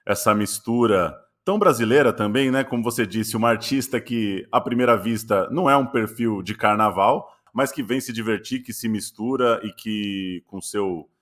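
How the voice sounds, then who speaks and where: A man with a Brazilian Portuguese accent, a podcast host asking long, winding interview questions in a measured way. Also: on the low side, fairly bright tone.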